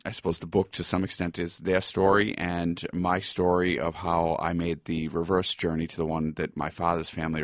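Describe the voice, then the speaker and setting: Low-pitched American man, dry sound. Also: vocal fry, fairly bright tone, no echo. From Boston, United States. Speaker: interview guest, law lecturer and writer. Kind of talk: conversational storytelling in an interview.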